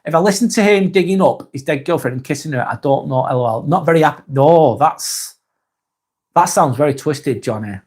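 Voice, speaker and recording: A man from Lancashire with a Lancashire accent, hosting a casual live stream and reading viewer comments aloud in a soft, hesitant way. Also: a little high, lively intonation, brisk, slightly rough. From Lancashire, England.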